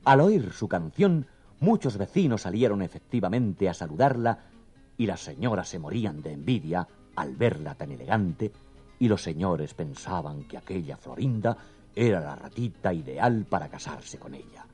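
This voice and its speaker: Man, children's story narrator, mellow low voice, animated intonation, theatrical, soft music behind. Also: moderate pace, slightly rough.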